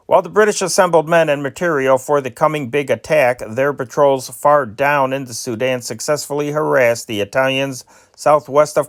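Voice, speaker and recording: Man reading wartime news, measured and formal. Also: medium pitch. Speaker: amateur news reader, channel host. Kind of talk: scripted news reading of old wartime newspaper reports.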